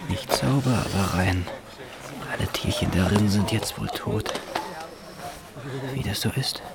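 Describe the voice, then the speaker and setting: Creaky, murmuring middle-aged man. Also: on the low side, unhurried, very noisy. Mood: wry, resigned. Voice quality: creaky, often breathy near-whisper. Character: bewildered, resigned middle-aged optician drifting through time. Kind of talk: radio drama acting. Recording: loud music behind.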